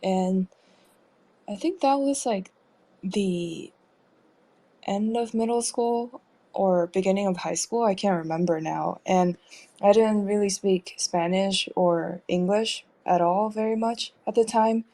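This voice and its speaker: A young woman from Taiwan, an artist guest, talking in a relaxed way with hesitant fillers and easy laughs. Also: mid-range voice, slow pace. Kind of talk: casual interview chat.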